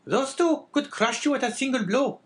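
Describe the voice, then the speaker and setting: A man's voice: extremely high pitch, normal speed, pitched above the performer's own voice. A man voicing a bossy, scolding innkeeper's wife in an audiobook, impatient and exclamatory. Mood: scolding warning.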